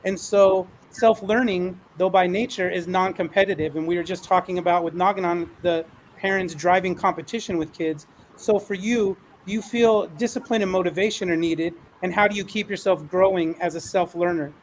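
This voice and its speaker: A man's voice: high-pitched, fairly even intonation, normal speed, hardly any pauses, a little hiss or hum, poor audio. A man hosting a panel discussion, speaking in an encouraging, affirming manner. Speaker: panel moderator. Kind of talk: moderating an online panel discussion.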